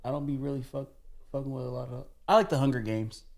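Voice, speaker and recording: A man's voice: medium pitch, steady tone, quick pace, creaky. A young man co-hosting a podcast in casual chat, laid-back and rambling, restarting sentences and swearing casually. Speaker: podcast co-host.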